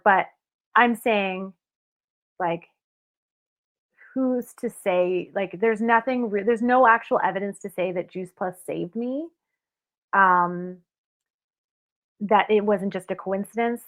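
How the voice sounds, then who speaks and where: Candid woman on a tinny call. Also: mid-range voice. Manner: candid, self-mocking, many fillers. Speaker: guest reacting to her old video. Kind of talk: casual reflective chat. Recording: tinny phone-like call audio.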